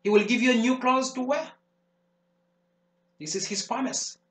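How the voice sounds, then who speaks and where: A fervent preacher, hammering his points in repeated phrases. His voice sits high for a man. The room rings with echo around him. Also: unhurried, a bit bright. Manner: emphatic, repetitive preaching cadence. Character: fervent male preacher warning of the devil. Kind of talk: sermon. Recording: roomy echo.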